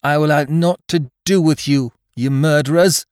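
A man's voice: fairly even intonation, moderate pace, a little mellow, no echo, studio quality, natural voice. A man voicing a brave, defiant young woman held by pirates, crying out boldly in an adventure audiobook. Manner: crying out boldly, raised pitch.

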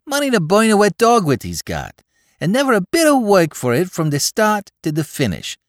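A cheeky young Bowery crook with a thick New York accent, as a male narrator puts him on, pitched high and pushed loud. He rattles off street slang in excited bursts, his voice leaping up and down. The sound is dry and close. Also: moderate pace, no room sound.

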